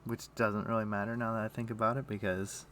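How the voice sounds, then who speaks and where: A man's voice: lowish voice, little pitch movement, brisk, a bit bright, slight background noise. A man streaming a game, chatting casually and thinking aloud in an easygoing, musing way. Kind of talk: casual chat while playing an online game.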